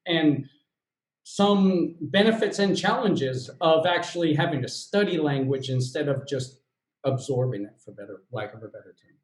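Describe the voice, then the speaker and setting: A man from the United States hosting an interview, talking in a relaxed, easygoing way with fillers. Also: on the high side, normal speed. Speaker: host. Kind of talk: casual interview chat. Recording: echoey room.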